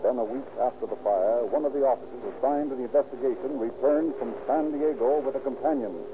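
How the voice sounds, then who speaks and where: A man's voice: medium pitch, brisk. A man narrating in a dramatic, formal 1930s radio style. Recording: muffled, noisy old radio recording.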